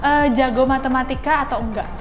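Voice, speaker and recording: A woman's voice: high voice, fairly even intonation, a little fast, lo-fi sound. A young woman, hosting a casual interview in a chatty, slangy way. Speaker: talk show host. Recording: reverberant room, music behind.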